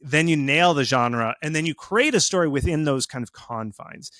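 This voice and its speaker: A man giving casual, animated explanations as an expert guest in an interview, speaking informally and with emphasis. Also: fairly high pitch, moderate pace, dry recording, studio quality. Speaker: video game marketing expert, interview guest.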